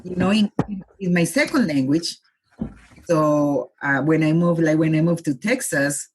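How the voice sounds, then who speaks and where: Husky Colombian-born woman guest. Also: sits low, a little slow, darkish tone, low-quality recording. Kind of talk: casual interview conversation.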